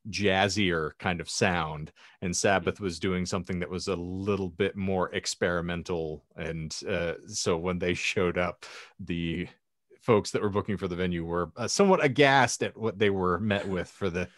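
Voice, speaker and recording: Low-voiced man, wordy podcast host. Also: moderate pace, dry recording, studio quality. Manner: wordy, ornate phrasing. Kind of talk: panel podcast chat with anecdotes.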